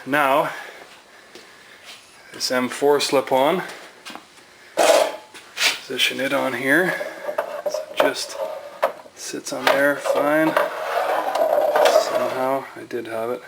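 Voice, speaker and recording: A man's voice: mid-range voice, fairly even intonation, very slow, creaky, long pauses, heavy background noise. A man giving a casual, plain-spoken hands-on how-to commentary in informal, slangy language, as a hobbyist showing his own work. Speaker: motorcycle owner demonstrating a DIY exhaust swap.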